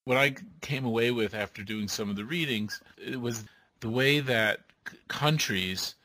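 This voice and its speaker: A man hosting an interview, asking measured questions. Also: mid-range voice, sharp tone, no room sound, low-quality recording. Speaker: interviewer, host.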